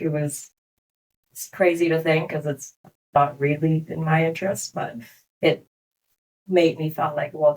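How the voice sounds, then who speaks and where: A young adult woman from Hong Kong, speaking as a guest on a business podcast interview, reflective and unhurried, laughing often. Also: low voice, a little mellow, low-quality recording. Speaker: clinic co-founder, guest.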